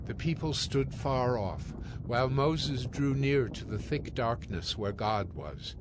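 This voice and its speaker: A man's voice: medium pitch, expressive, slow pace, background noise. A man narrating scripted scripture as a solemn storyteller in a dramatized audio production. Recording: music under the voice.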